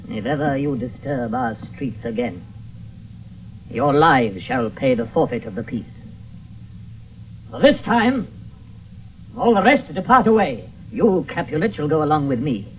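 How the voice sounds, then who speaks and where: A man's voice: medium pitch, big pitch swings, a little slow, pauses often. An actor playing a stern, angry prince in a radio Shakespeare play, declaiming commands to his subjects. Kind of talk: 1970s radio Shakespeare acting. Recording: dull, hissy 1972 radio recording, distant.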